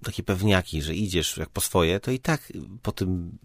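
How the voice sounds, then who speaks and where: A man's voice: low voice, moderate pace, no echo. A man talking casually as a guest, informal and hesitant, thinking aloud and letting sentences trail off. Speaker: podcast guest, stage comedian and improviser. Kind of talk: casual conversation.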